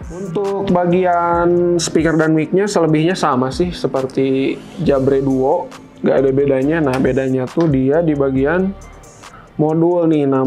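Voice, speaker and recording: A man's voice: a little high, warm tone. A man hosting a product unboxing in an informal, explanatory, step-by-step way. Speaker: product review host. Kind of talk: casual unboxing presentation to camera.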